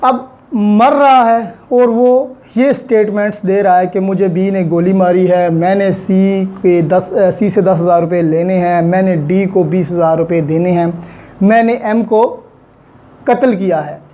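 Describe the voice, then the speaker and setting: A man with a Pakistani Urdu accent giving a recorded law lecture, spelling out definitions slowly and deliberately. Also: high-pitched, steady tone, warm tone.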